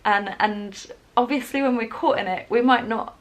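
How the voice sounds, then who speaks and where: Woman vlogger, rambling and chatty, frequent laughs, slightly high voice, camera mic. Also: moderate pace. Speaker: wellbeing vlogger. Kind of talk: casual talk to camera. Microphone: camera in front, leaning closer at times.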